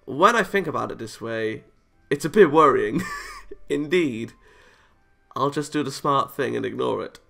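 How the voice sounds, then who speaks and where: A man's voice: medium pitch, animated, measured pace, vocal fry, pushed and bright. A man reading a visual novel aloud for a let's play, playful and exclaiming, breaking into joking asides. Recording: music behind the voice. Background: visual novel game music.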